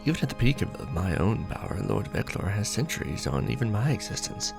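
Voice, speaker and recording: A man acts as an ancient, courtly vampire lord in a romantic audio roleplay. His low voice swings expressively and frays into gravelly creak. He speaks formally and with measured theatrical weight, protective and tender beneath a cold nobility. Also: sing-song, music in the background. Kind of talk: ASMR romantic roleplay, scripted character acting.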